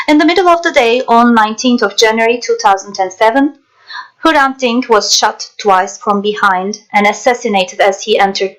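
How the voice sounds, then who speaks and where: A woman narrator and interpreter reading scripted documentary voice-over in a measured, formal way. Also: fairly high pitch, fairly bright tone, poor audio.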